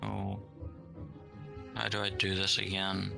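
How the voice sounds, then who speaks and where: Gravelly, flat low-voiced male player. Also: slow pace. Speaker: tabletop game player. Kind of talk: casual game chat. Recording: music behind, online voice call.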